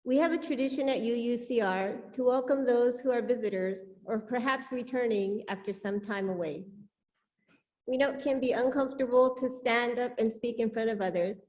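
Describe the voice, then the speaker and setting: A woman with a fairly high voice speaks as a service leader. She delivers a prepared welcome to a congregation, giving announcements into a church microphone. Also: a little mellow, low-quality recording.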